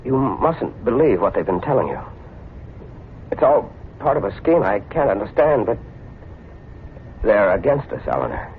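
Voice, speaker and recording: Desperate fugitive killer, low and intense. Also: male voice, very animated, moderate pace, gravelly, pauses often. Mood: pleading, accusing. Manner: intense, agitated, melodramatic. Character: desperate, paranoid fugitive killer recounting his crimes. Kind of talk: 1940s radio suspense acting, first-person narration. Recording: noisy, tinny old radio drama recording.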